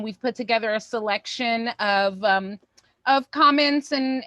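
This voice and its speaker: A woman's voice: fairly high pitch, fairly bright tone, no room sound. A woman hosting a show, making casual announcements and introductions. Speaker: show host.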